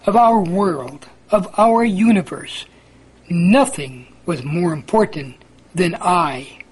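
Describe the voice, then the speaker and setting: A man reciting a religious poem in a measured, emphatic, sing-song way. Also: high voice, breathy edge, low-quality recording. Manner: measured, emphatic, sing-song alternation between contrasting lines.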